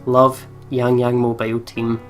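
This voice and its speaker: A woman's voice: extremely low pitch, monotone, a little slow, soft background music. A young woman hosting a let's play, chatting casually and expressively as she comments on the game, with plenty of swearing.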